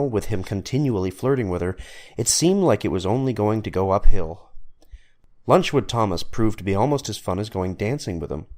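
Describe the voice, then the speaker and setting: A man's voice: on the low side, a little mellow. A man reading scripted story narration as the narrator.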